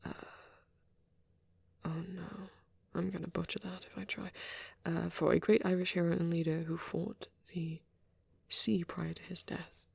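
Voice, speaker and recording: A soft, husky half-whisper with a creaky edge, belonging to a shy vampire boy played by a man in an ASMR roleplay. He speaks gently and haltingly in a narrow, even pitch, as if rambling to a date. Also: no room sound, exaggerated voice. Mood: hesitant. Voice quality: breathy, often slipping into whisper. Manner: hesitant, halting, gently spoken.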